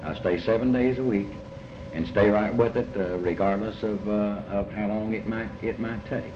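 Low-voiced Kentucky man, halting and deliberate. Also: fairly even intonation. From Kentucky, United States. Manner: halting, deliberate, folksy, often repeating phrases. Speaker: novelist being interviewed. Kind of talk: reflective interview answers. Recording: muffled, noisy, echoey old tape.

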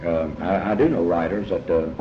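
An older man talks reflectively in an interview. He hesitates often, repeats himself and wanders through his thoughts. The tape is muffled, with clear noise behind him. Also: low-pitched. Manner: halting, rambling, with many repetitions and long hesitations. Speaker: writer and lecturer being interviewed. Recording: muffled, echoey, noisy amateur interview tape.

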